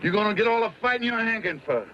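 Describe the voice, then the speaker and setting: An actor playing a gruff, seasoned American wagon scout in an old time radio western, talking in plain, commanding frontier dialect. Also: male voice, high voice, animated, rapid-fire, bright, forceful tone. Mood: stern warning. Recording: dull, noisy old radio recording.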